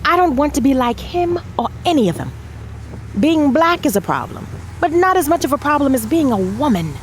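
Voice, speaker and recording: A woman's voice: high voice, sing-song, brisk, a little hiss or hum. An actress playing a determined, frustrated American congresswoman in an audio drama, emphatic and plain-spoken, with an American accent. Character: determined, frustrated congresswoman and wife with presidential ambition.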